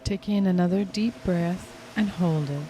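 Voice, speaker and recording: A woman leading a guided hypnosis meditation in a soothing, lulling, unhurried way. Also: fairly low pitch, warm tone, a little hiss or hum, poor audio. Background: music.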